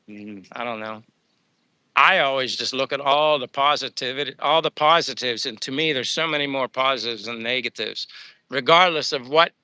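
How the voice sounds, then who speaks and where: A man's voice: mid-range voice, sharp tone, clear, resonant tone, no room sound. A man with a Pennsylvania accent hosting a casual livestream, rambling upbeat and thanking viewers as he chats. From United States. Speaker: livestream host. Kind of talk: casual livestream chat reading viewer comments. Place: living abroad.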